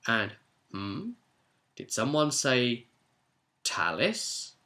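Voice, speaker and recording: A British man with a bright, forceful voice at a middle pitch tells the story of a tabletop role-play session. He talks as the game master, chatty and animated, in a casual recap style. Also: very slow.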